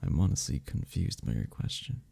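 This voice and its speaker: A man playing a flirty, friendly club member in an ASMR romance roleplay, teasing and reassuring in a breathy, gravelly voice. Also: a bass voice, sing-song, a little fast, warm tone, exaggerated voice. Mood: friendly, curious. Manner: teasing, reassuring, chuckles between phrases. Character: flirty, friendly male cuddle club member showing a newcomer around. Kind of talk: ASMR romance roleplay, one-sided conversation.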